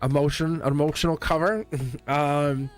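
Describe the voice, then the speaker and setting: A man's voice: fairly high pitch, steady tone, unhurried, soft background music. A man giving a live-streamed tarot reading, chatty and teasing, laughing often as he talks to his viewers. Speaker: tarot reader.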